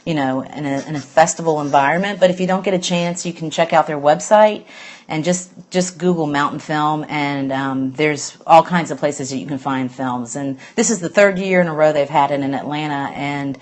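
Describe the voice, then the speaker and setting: Low-voiced Southern woman, chatty. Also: normal speed, darkish tone. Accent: Southern US (Alabama). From Alabama, US. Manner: chatty, rambling, easygoing. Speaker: host of a sustainability news show. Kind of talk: casual live-stream chat.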